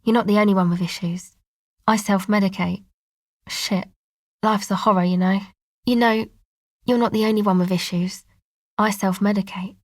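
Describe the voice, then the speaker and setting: Woman acting deadpan young slacker woman, very dry recording. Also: medium pitch, dry recording, lightly acted. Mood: subdued, casual. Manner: casual, slangy, deadpan. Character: laid-back, sardonic young woman who records field sounds. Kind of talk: video game character dialogue.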